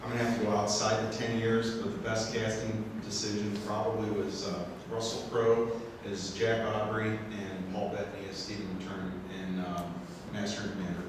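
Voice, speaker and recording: A man's voice: lowish voice, level tone, normal speed, few pauses. A man introducing himself hesitantly to a meetup group. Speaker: pen tester. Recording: echoey room, far mic, hiss.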